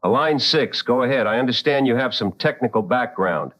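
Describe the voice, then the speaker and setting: A man playing a composed late-night radio call-in host in an audio drama, measured, probing and courteous, in a slightly dull recording. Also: mid-range voice, expressive, a bit bright, dry recording.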